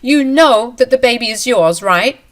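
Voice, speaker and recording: A woman reading a story aloud, voicing a manipulative young mistress who sounds shrill, accusing and self-pitying. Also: expressive, moderate pace, lightly acted. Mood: indignant.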